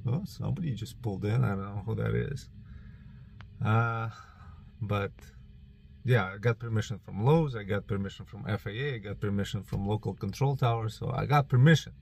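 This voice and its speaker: A man's voice: on the low side, moderate pace, vocal fry, darkish tone, a little hiss or hum. A man with a Ukrainian accent, losing his voice, chatting casually in a vlog from outdoors in a parking lot, thinking aloud with lots of fillers. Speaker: drone hobbyist vlogger.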